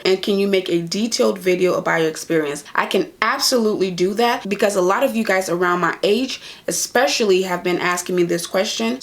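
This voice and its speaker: A woman's voice: mid-range voice, vocal fry. A young woman from Liberia, a nurse and vlogger, answering viewer questions in an earnest, casual chat to camera in a small room. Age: young adult. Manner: earnest, talks straight to viewers.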